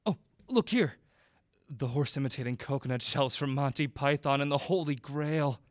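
A man's voice: mid-range voice, normal speed, very noisy, lightly acted. An actor playing a polite, wide-eyed young man who admires movie props, in an audio drama. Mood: impressed.

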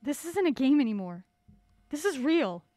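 A woman's voice: high voice, sing-song, normal speed, warm tone, dry recording. A woman playing a curious, polite young woman drawn into a mystery in an audio drama. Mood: uneasy.

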